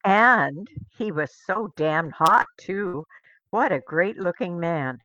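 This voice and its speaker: A woman's voice: on the low side, lively intonation, slow pace, bright, forceful tone, no room sound, highs cut off. A woman bantering casually as a co-host on a radio show.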